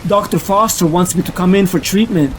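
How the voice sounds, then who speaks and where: Man acting a high-voiced, tormented vigilante narrator. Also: a little fast, slight background noise, close to an everyday voice. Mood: brooding, troubled. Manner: brooding, confessional internal monologue. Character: tormented, ailing male vigilante losing his sanity. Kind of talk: dramatic first-person comic narration.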